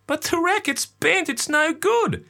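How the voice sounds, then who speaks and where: A man's voice: very high voice, animated, unhurried, vocal fry, bright, forceful tone, pitched above the performer's own voice, full character voice. A man voicing a whiny young boy in a storybook reading. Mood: whining. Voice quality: whining. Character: whiny young boy complaining about his racket.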